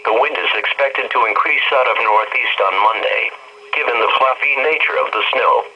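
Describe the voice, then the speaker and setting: A synthetic-sounding man's voice reads a scripted weather bulletin in a formal, measured way. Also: medium pitch. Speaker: weather radio announcer. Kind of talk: scripted weather forecast narration. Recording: muffled weather radio broadcast, faint static.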